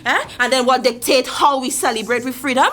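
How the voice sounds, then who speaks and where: A woman's voice: high voice, fairly quick, a bit bright. An actress playing Mavis, an older Trinidadian community matriarch who tells her people's history with passion. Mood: impassioned. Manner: storytelling, impassioned, preaching history. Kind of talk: radio drama acting. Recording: music behind the voice.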